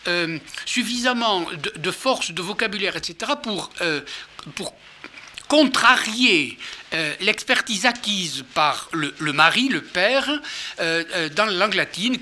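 Older male lecturer: high, piercing voice, halting delivery, rhetorical questions to the audience. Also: pushed and bright, a little hiss or hum. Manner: hesitant, many fillers and restarts, rhetorical questions. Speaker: author and lecturer.

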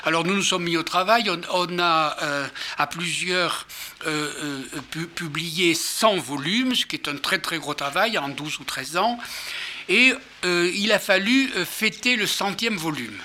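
Older male lecturer: high, piercing voice, halting delivery, rhetorical questions to the audience. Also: sharp tone, slight background noise. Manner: hesitant, many fillers and restarts, rhetorical questions. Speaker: author and lecturer.

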